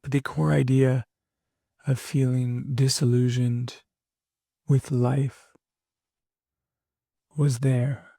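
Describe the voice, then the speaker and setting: A young man losing his voice, talking candidly and haltingly in a reflective personal monologue as a podcast host. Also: medium pitch, little pitch movement, vocal fry, dark tone, dry recording, studio quality. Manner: halting, candid, many fillers and restarts.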